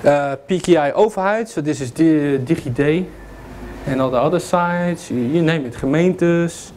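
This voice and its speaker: Dutch guest lecturer, 30s, fairly high voice, loose and joking, filler-heavy; distant camera in a classroom. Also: male voice, a little slow, darkish tone, a little hiss or hum. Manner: loose, joking, many fillers, walks and points at slides. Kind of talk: informal technical lecture. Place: classroom with projector. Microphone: far camera, no visible mic.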